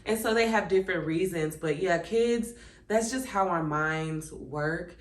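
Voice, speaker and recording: A woman in her thirties talks to her viewers in a low, easygoing voice, reading a passage and then reflecting on it in her own words. She fills her pauses with "um" and "like." The sound has a noticeable room echo. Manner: conversational, reflective, many "um" and "like" asides. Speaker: channel host. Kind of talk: casual reflective vlog, reading from a workbook. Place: indoors at home, seated. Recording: echoey room.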